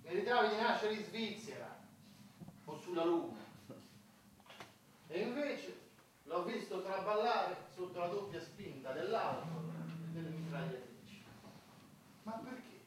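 An actress playing a bitter, outspoken clerk of the war dead, emphatic and complaining in a theatrical radio drama style. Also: female voice, fairly low pitch, lively intonation, measured pace, long pauses. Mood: reproachful. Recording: distant echoey room, clearly noisy.

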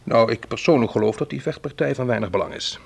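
An actor playing a polite but uneasy club owner in a radio play, speaking formally and hesitantly. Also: male voice, medium pitch, fast, vocal fry, slightly rough. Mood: defensive.

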